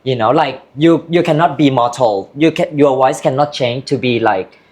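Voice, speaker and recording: A woman's voice: very deep voice, normal speed, darkish tone. Candid Thai-accented woman guest. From Thailand. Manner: open, candid talk in loose, broken English. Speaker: interview guest. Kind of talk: casual interview chat.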